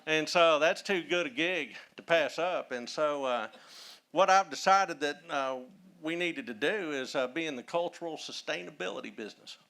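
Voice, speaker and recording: A man from north Texas with a Texan accent, a historian speaking as a guest and telling folksy stories with many pauses. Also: on the high side, pushed and bright. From Texas, Dallas-Fort Worth area. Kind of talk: casual storytelling talk.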